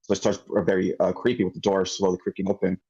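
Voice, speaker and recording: A man giving casual spoken critique of a script in an online meeting, halting and thinking aloud. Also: sits low, level tone, mellow, husky. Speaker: script feedback participant. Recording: slightly dull online meeting audio.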